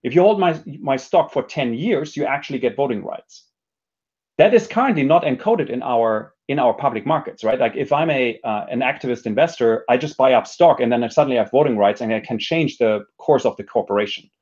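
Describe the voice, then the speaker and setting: A man's voice: medium pitch, fairly quick, darkish tone, highs cut off. A man speaking as an interview guest, explaining ideas conversationally and often checking in with "right?". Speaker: podcast guest, entrepreneurship expert.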